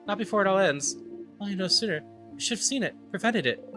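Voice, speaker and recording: Man doing a gentle, solemn, high-pitched mystical leader. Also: expressive, fast. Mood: sorrowful, pleading. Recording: game music under the voice.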